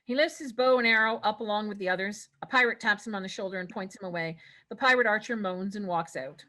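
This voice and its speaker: A woman's voice: mid-range voice, fast talker, pushed and bright, low-quality recording. A woman reading stage directions as scripted narration at a table read.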